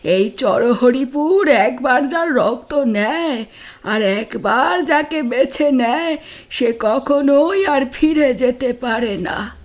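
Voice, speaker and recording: A slow, high-voiced ominous old aunt. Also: female voice, lively intonation. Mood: ominous warning. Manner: slow, drawn-out, portentous. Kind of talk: dramatic horror audio story acting.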